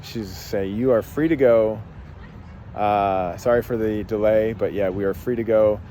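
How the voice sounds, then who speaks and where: A man's voice: fairly low pitch, fairly even intonation, moderate pace, warm tone, a little hiss or hum. A man hosting a podcast, telling a long personal story in a rambling, casual way with many fillers and restarts. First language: English. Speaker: podcast host and life coach.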